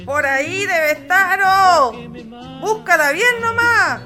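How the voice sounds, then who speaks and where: A woman's voice: very high voice, expressive, slow pace, bright, forceful tone, faint music behind, full character voice. A woman voicing an indignant elderly Chilean wife in a radio drama, scolding and exclaiming. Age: older.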